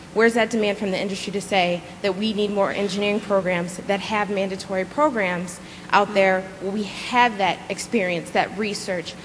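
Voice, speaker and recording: A young woman in the audience asks a long, earnest question, with a few fillers and restarts. Her voice is at a mid pitch. It carries through a reverberant hall with faint noise behind it. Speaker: audience member asking a question.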